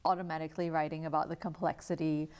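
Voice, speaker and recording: A woman's voice: low voice, little pitch movement, fast, darkish tone, clear, resonant tone. A woman from Singapore, an author, answering interview questions thoughtfully with fillers, restarts and easy laughs. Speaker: author guest. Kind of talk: interview conversation.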